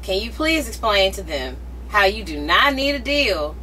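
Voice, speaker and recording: A bubbly woman hosts the interview with a bright, sharp edge to her voice, teasing her guest and gushing as a fan. Faint background noise from the tour bus sits behind her. She keeps it a casual, playful chat. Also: mid-range voice, big pitch swings, moderate pace, low-quality recording. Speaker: interviewer, radio host.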